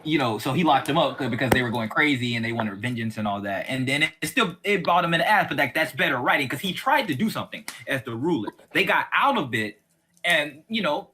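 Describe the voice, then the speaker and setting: Bright-voiced man, casual and opinionated. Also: mid-range voice, lively intonation, fast talker, breathy edge. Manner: rambling, colloquial, repeats for emphasis. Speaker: podcast host. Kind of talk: casual opinionated chat about TV.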